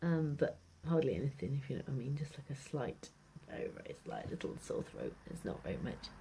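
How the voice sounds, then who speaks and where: A woman's voice: extremely low pitch, wildly swooping pitch, brisk, gravelly, warm tone, husky. A British woman chatting casually to camera in a food diary vlog, rambling and hesitant, often trailing off and repeating herself. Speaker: vlogger. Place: at home. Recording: noisy amateur home video.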